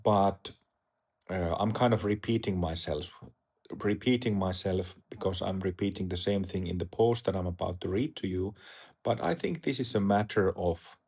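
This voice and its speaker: A middle-aged man from Finland with a Finnish accent, talking to camera as a Dharma teacher in a hesitant, halting way with many fillers. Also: sits low, gravelly, darkish tone, husky. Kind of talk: casual talk to camera.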